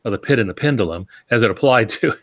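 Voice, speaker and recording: A man's voice: fairly low pitch, expressive, a little fast, fairly bright tone. A man co-hosting a podcast in casual conversation, reflective and folksy, feeling his way through his thoughts. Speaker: podcast co-host.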